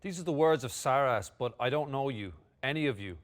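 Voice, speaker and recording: A man playing a blunt, confident envoy in a fantasy table read, speaking in measured, formal speeches. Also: mid-range voice, lively intonation, close to an everyday voice. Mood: cool, bold. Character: confident, flirtatious male envoy, blunt and unflinching.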